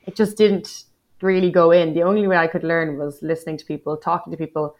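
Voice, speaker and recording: Dublin woman, 30s, low-pitched guest poet; unhurried, reflective, self-deprecating, lots of "like". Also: little pitch movement. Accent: Dublin Irish. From Ireland. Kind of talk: casual interview conversation.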